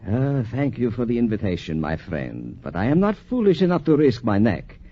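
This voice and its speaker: An actor playing a fussy, disapproving physician in a 1940s radio drama, scolding in a hesitant, exclamatory way. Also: male voice, mid-range voice, wildly swooping pitch, moderate pace. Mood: exasperated scolding. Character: fussy, disapproving male French physician, scornful of risk-takers. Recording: muffled vintage 1940s radio recording.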